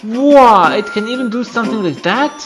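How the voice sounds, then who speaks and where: A man's voice: sits high, lively intonation, normal speed, voice pushed up. A man voicing an eager, friendly young creature in a game, keen and upbeat. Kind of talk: amateur game character voicing. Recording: music behind the voice.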